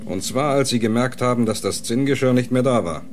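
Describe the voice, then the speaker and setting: An actor playing a stern detective, firm and accusing, in a German radio crime play. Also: male voice, medium pitch, fairly quick, slight background noise, close to an everyday voice. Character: stern male detective confronting a suspect.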